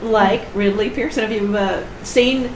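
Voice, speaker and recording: A woman's voice: medium pitch, steady tone, unhurried. A woman author chatting on a panel podcast, talkative and explaining at length. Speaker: author guest. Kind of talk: casual panel chat. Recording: roomy with faint hiss.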